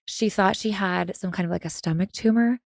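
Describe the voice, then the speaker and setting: A woman in her thirties from California talks casually as a podcast guest. She is sassy and quick with asides, and she laughs often. Her voice sits at a middling pitch in a dry, close recording. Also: creaky, no echo. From California, USA. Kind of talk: casual chat.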